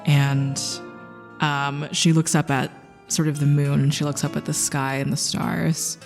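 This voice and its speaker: A woman improvising dramatic narration for her tabletop character, intense and halting, dwelling on single words. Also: deep voice, level tone, vocal fry, darkish tone. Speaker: player in a tabletop game podcast. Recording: long reverb under music.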